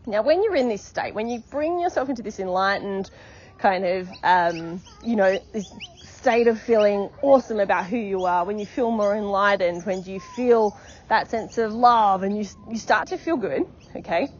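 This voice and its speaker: A woman's voice: mid-range voice, normal speed, muffled recording, a little hiss or hum. A woman life coach giving an encouraging, repetitive motivational podcast talk, often checking in with "okay?".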